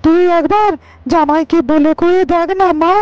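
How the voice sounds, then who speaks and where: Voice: extremely high pitch, steady tone, normal speed, darkish tone, no echo, highs cut off. A performer voicing a humble, worried older village uncle in a cartoon, pleading deferentially. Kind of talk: Bengali cartoon character dialogue.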